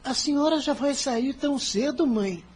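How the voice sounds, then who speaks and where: A woman's voice: high voice, normal speed, a little mellow, husky. A woman playing an earnest, worried schoolboy from a poor family in an audio drama. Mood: worried, gentle.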